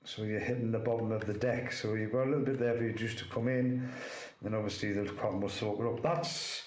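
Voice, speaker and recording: A man's voice: on the low side, steady tone, a little fast, a little mellow. A man with a chesty cold, casually demonstrating vape gear and rambling with lots of fillers. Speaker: vape reviewer. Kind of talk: casual hands-on product demo. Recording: echoey room with faint noise.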